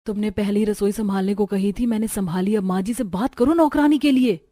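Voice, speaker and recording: A woman's voice: mid-range voice, a little fast, warm tone, lightly acted. A woman voicing a modern, anxious young daughter-in-law in a family cartoon, pleading and insistent. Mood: demanding. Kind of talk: Hindi cartoon story dialogue.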